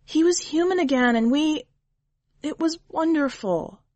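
A woman voicing a tearful young wife who speaks in an emotional, halting way. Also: sits high, a little mellow, no room sound, pitched above the performer's own voice. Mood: wistful.

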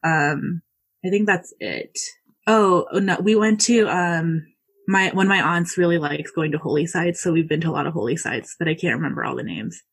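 A young American woman chatting easily through an interview, her voice soft and breathy with a slight husk. She strings her thoughts together with frequent likes and ums, relaxed and unguarded. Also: lowish voice, normal speed. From United States. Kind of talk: casual interview.

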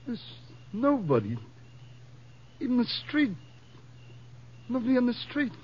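An actor playing a guilt-stricken, dazed husband in halting, anguished 1950s radio drama style. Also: male voice, extremely high pitch, wildly swooping pitch, slight background noise, full character voice. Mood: anguished, guilty. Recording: dull vintage 1950s radio recording.

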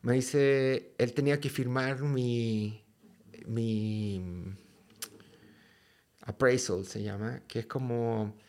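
A man's voice: mid-range voice, extremely slow, long pauses. Chatty Chilean man telling stories. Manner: lively, slangy and swears freely. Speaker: podcast guest. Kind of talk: casual storytelling.

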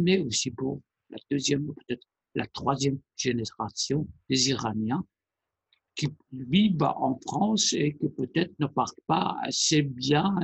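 Male presenter, measured and hesitant, husky low-energy delivery with a dry sound. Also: no echo, rough recording. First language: Persian. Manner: deliberate, halting phrasing with pauses. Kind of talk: explanatory monologue.

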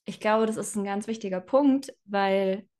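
A woman's voice: mid-range voice, a little slow, a little mellow. Reflective young woman, chatty guest. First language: bilingual German and Spanish. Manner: reflective, many fillers, laughs often. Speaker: guest, self-employed in personal branding. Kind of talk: casual interview conversation.

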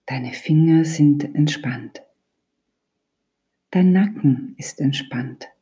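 Woman doing guided meditation, really slow and calm, soft half whispery voice, mellow tone. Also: low voice. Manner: calm, soothing, deliberate instruction with pauses. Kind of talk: guided yoga nidra meditation.

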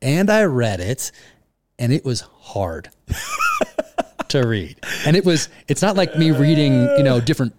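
A man who is an author, chatting casually in an interview and telling relaxed stories with plenty of fillers. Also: a little high, a little slow, long pauses, heavy background noise. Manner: relaxed storytelling with frequent fillers.

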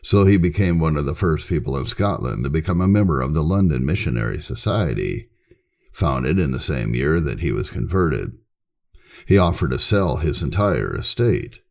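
A man with an extremely deep, gravelly voice reads religious history aloud. His delivery is solemn and emphatic, with pitch that moves in a lively way through each sentence. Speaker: narrator reading church history. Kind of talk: scripted narration, reading aloud.

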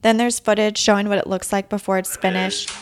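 A woman's voice: mid-range voice, flat delivery, brisk, background noise. A woman hosting a podcast, telling a story in scripted narration with a conversational turn. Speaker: podcast host.

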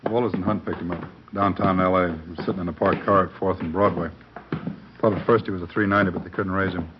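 A blunt, authoritative police captain acted by a man with a deep voice and rapid, clipped delivery. The old radio recording has clear background hiss. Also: fairly even intonation, close to an everyday voice. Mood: businesslike. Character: brisk, commanding police captain questioning a suspect. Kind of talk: 1950s radio police drama acting. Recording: muffled, noisy vintage radio recording.

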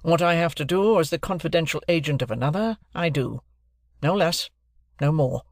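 A man reads as a brusque middle-aged lawyer, stern and exacting, laying out terms in clipped, commanding phrases. The voice sits well above the reader's own and comes out louder and more pressing, with a dry, close sound and no room around it. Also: fairly high pitch, moderate pace, no room sound, pitched above the performer's own voice, close to an everyday voice. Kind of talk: audiobook character voice.

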